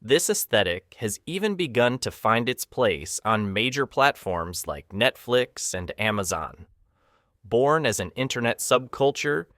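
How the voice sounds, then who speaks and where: A man narrating a documentary from a script, with a measured, ominous delivery. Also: mid-range voice, fairly bright tone, no echo, clean studio sound.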